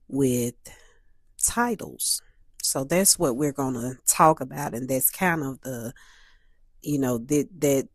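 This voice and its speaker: A woman hosting a solo talk, a little stuffy and hoarse from a cold, speaking in a measured way that repeats and restates her points. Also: sits low, a little mellow, no room sound, clean studio sound. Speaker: host.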